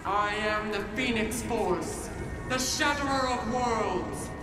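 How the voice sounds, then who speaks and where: A woman's voice: medium pitch, lively intonation. A woman voicing a young woman possessed by a godlike cosmic force, grandiose, cruel and imperious, in a motion comic. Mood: defiant. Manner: imperious, theatrical, slow deliberate pronouncements. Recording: echoey mix under loud music.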